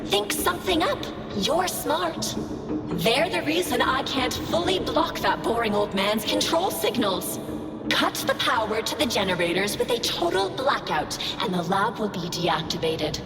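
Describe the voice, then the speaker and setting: A woman's voice: a little high, expressive, unhurried. A woman voicing a seductive, defiant female artificial intelligence in a video game, speaking in a sultry, theatrical, taunting way. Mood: taunting, sly. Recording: echoey long reverb, loud music behind. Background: loud game music.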